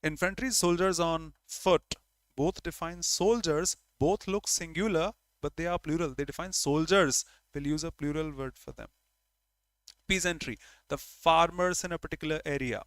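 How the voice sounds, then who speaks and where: A man with an Indian English accent teaches an online grammar class. He works through each point step by step and repeats key words for his students. His voice sits a bit higher than most men's and sounds dry and close. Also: normal speed, fairly bright tone, no echo. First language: Hindi.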